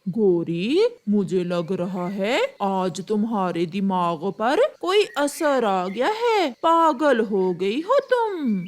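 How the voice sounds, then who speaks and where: A woman's voice: medium pitch, big pitch swings, takes it slowly, few pauses. A woman voicing a henpecked, sarcastic young husband crow in a cartoon story, with a teasing, complaining manner. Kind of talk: Hindi cartoon story dialogue.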